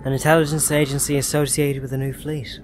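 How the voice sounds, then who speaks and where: A man playing a sharp, commanding intelligence agent in a science fiction audio drama, with clipped, probing speech. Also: a little high, level tone, quick pace, close to an everyday voice. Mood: businesslike. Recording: clear background ambient noise.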